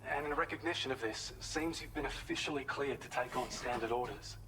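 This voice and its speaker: An actor playing a calm male facility contact in a video game, speaking in a measured, formal, friendly way. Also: medium pitch, noisy background, lightly acted. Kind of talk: scripted game dialogue.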